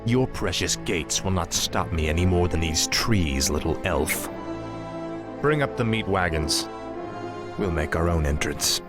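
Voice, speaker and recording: An actor playing a cold, arrogant human prince turned death knight in a fantasy video game, speaking in a haughty, menacing, declamatory way. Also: male voice, low voice, animated, a little slow. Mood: cold arrogance. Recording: loud music behind the voice.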